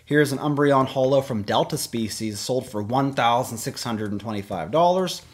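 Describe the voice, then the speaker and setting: Male host, 30s, mid-pitched voice, upbeat and informative rundown delivery for an online video. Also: normal speed. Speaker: YouTube host. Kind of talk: scripted-style auction price rundown to camera. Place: small indoor room with shelves of collectibles.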